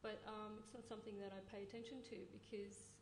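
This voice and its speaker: A woman poet on a festival panel, talking in a relaxed, easygoing way with plenty of fillers. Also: medium pitch, quick pace, slight background noise. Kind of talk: panel discussion. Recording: echoey room.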